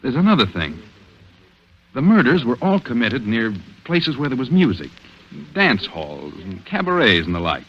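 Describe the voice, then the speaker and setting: An actor playing an earnest, worried police detective in 1940s radio drama style, brooding and terse. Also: male voice, medium pitch, big pitch swings, moderate pace, breathy edge. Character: earnest, worried male police detective confiding in his sweetheart. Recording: dull vintage radio recording.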